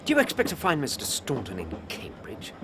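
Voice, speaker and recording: An earnest Victorian English doctor, the loyal and questioning sidekick. His proper speech is lively, rising and falling widely in period drama style. Clear background ambience sits behind the voice. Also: on the high side, fairly quick, noisy background. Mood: puzzled, doubtful. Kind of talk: period radio drama dialogue.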